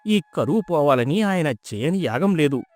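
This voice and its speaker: A man voicing a poor, pious villager in a folk tale, earnest, humble and expressive. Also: fairly high pitch, moderate pace, faint music behind, natural voice. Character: poor, pious, honest village husband, humble and wonder-struck. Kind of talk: dramatised folk tale storytelling.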